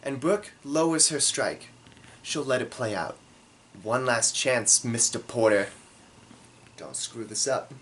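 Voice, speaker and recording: A man telling a comedic story from his own life in a theatrical, self-deprecating way, with dramatic pauses. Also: medium pitch, a bit bright, a little hiss or hum.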